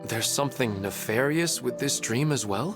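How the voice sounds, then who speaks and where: A humble, wistful family man, voiced by an actor in a video game. His mid-pitched voice is sincere and plain-spoken, with music clearly playing behind it. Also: expressive, moderate pace, lightly acted. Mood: wistful, resigned. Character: earnest family man, humble, grateful, wistful husband and father.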